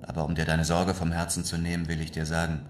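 A male actor as a shrewd, unflappable adventurer in a radio drama. His low voice is calm, deliberate and insistent, heard in an echoey room with some noise. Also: natural voice. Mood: firm, insistent. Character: cool, shrewd European adventurer driving a hard bargain. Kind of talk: radio play dialogue.

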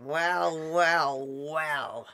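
An actress playing a tyrannical, bullying headmistress in an audiobook drama, barking with contempt. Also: female voice, low voice, drawn-out words, very noisy, full character voice. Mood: menacing disgust.

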